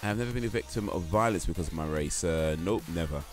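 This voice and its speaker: A deep-voiced man talks casually to his stream audience, rambling and hesitant with plenty of fillers and restarts. Quiet music plays faintly underneath his voice. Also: fast. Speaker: livestream host. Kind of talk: casual stream chat, reading chat and quiz aloud.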